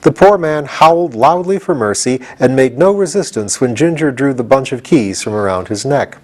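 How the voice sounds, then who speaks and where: A man's voice: medium pitch, lively intonation, moderate pace. A man reading a storybook aloud as scripted audiobook narration. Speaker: audiobook reader.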